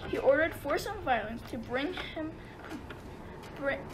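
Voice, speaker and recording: Woman, high voice, scripted storytelling, radio play narrator, clearly noisy recording. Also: animated, unhurried.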